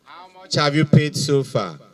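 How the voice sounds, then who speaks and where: A man's voice: on the high side, lively intonation, measured pace, recorded from a distance. A middle-aged man with a Nigerian accent, a police officer questioning suspects with probing authority on a handheld microphone outdoors. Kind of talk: public interrogation at a press briefing.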